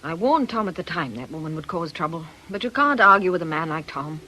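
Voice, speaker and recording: A woman's voice: on the low side, big pitch swings, brisk, pushed and bright, slight background noise. A woman playing an ailing, middle-aged fortune teller in a radio drama, scornful and theatrical. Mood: bitter. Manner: world-weary, scornful, declamatory.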